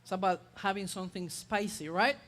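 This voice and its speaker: Brief: high-pitched man, chef demoing on stage, chatty and halting, long hall reverb. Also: steady tone, fairly bright tone. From Ecuador. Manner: chatty, hesitant with many uh fillers. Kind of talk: live cooking demonstration.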